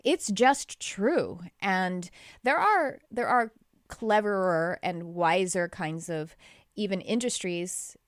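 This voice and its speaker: Animated woman guest, laughing easily. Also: lowish voice, slow, no echo, clean studio sound. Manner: animated, laughs often, restarts sentences. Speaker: podcast guest. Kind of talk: casual conversational chat.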